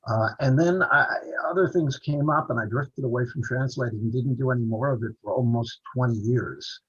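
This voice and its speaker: Husky-voiced man on a video call. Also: mid-range voice, normal speed, a bit bright, muffled recording. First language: English. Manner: rambling storytelling with frequent restarts and fillers. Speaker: author and translator, interview guest. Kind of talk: conversational interview over video call.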